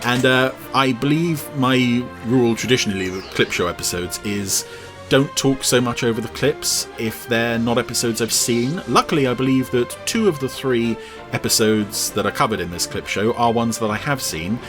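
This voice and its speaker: A man's voice: medium pitch, moderate pace, music in the background. A man giving casual, chatty and slightly wry commentary over a cartoon for a podcast.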